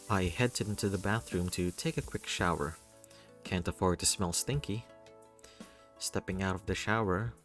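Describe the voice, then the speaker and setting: Male narrator, low register, expressive first-person story reading with theatrical flair, faint music underneath. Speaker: reader of a visual novel.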